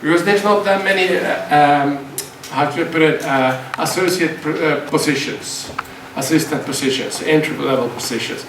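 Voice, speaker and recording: A man's voice: fairly high pitch, moderate pace, few pauses. Hesitant man in echoey hall. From Finland. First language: Swedish. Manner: hesitant, many restarts and repeated words. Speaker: guest speaker, university lecturer. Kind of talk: seminar talk to students. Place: lecture hall. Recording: echoey hall, distant mic, faint noise.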